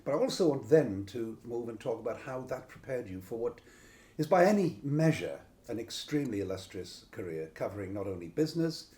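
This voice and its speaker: An older man, interviewing conversationally in a courtly, wordy, gently teasing manner. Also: medium pitch, very animated, fairly quick, hardly any pauses. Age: 70s or older.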